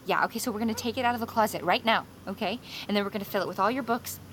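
A woman's voice: mid-range voice, very fast, fairly bright tone, slight background noise, close to an everyday voice. An actress playing an anxious, protective mother in an audio drama, urgent and prone to stammer and repeat herself. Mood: urgent soothing. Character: anxious, fiercely protective mother of a young girl. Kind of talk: immersive audio drama acting.

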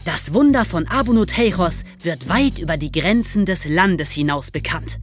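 A woman's voice: lowish voice, expressive, normal speed, soft background music. A woman narrating scripted stories as a podcast host. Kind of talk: scripted storytelling.

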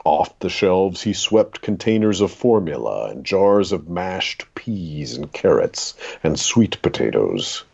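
A man with a gravelly, husky voice reading a literary short story aloud in a dramatic, deliberate way. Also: sits low, sing-song, a little mellow, dull audio.

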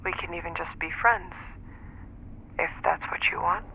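A woman's voice: on the low side, moderate pace, vocal fry. An actress playing an earnest, vulnerable woman through a voicemail filter in an audio drama. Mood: earnest, wistful. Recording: muffled phone voicemail, faint noise.

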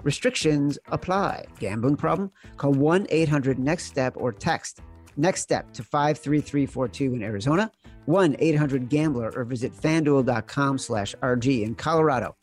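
A man's voice: medium pitch, lively intonation, moderate pace, soft background music. A man, a podcast host, reading a scripted sponsor advertisement with its terms and disclaimers. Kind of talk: scripted ad read.

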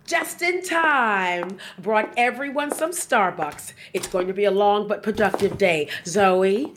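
A woman's voice: mid-range voice, animated, slow pace, fairly bright tone, slight background noise. A woman playing an upbeat, polite, businesslike theatre producer in a radio play. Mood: cheery. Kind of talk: scripted radio play acting.

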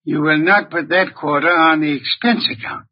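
Husky, imperious detective boss. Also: male voice, fairly high pitch, moderate pace. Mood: curt, impatient. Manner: curt, pedantic, demanding. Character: brusque, imperious male genius detective boss. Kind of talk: old-time radio detective acting. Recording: dull, muffled vintage radio recording.

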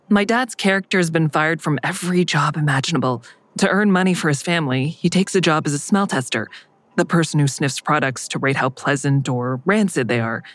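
An actress playing a wry daughter who narrates her own story in a natural, everyday way in an audio drama. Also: low voice. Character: wry woman narrator, a former comic, exasperated daughter.

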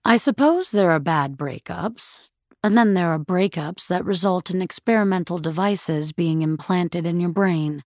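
A woman playing a wry, reflective narrator in an audio fiction drama, speaking in a measured, understated way. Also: on the low side, no echo, studio quality. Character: wry, reflective female narrator of a sci-fi breakup story.

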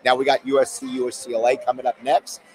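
Man hosting a sports podcast, hoarse. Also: mid-range voice, little pitch movement, fast, rough recording. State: losing his voice from yelling. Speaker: podcast host. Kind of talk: casual sports podcast chat.

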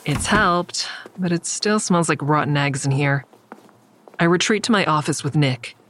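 A woman's voice: low voice, measured pace, fairly bright tone. An actress playing an uneasy young woman narrating her own story in a hesitant, confiding way in an audio drama. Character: uneasy young female first-person narrator in over her head.

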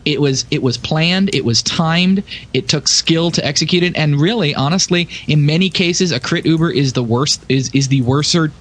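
A man's voice: a little high, moderate pace, hardly any pauses, a bit bright. A man in a casual gaming podcast discussion, explaining emphatically and talking through examples. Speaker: podcast panelist discussing game tactics.

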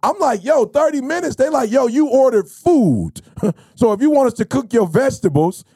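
Dude in his 50s, kinda high gravelly voice, slangy podcast riffing, dead dry sound. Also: moderate pace, dry recording. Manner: animated, storytelling, slangy with bro and you feel me. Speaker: podcast host. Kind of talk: casual motivational chat.

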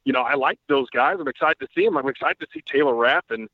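A man, a guest writer, talking through football analysis in a casual podcast chat, quick and a bit profane. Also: mid-range voice, no echo. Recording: tinny dry phone-line audio.

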